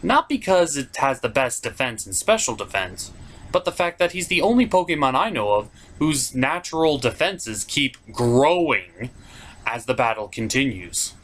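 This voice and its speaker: Male countdown host, very lively pitch, mid-range voice, informal, emphatic, opinionated gaming commentary. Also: moderate pace, a little hiss or hum. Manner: informal, emphatic, with mild swearing.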